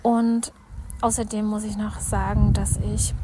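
A woman's voice: mid-range voice, little pitch movement, measured pace. A woman in her thirties telling a personal story in a voice message, hesitant, with many fillers. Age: 30s. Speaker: caller sending a voice message. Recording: loud background noise.

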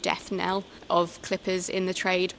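A woman's voice: on the low side, completely flat delivery, unhurried, creaky, fairly bright tone, low-quality recording. A woman interviewed as an expert, explaining informally.

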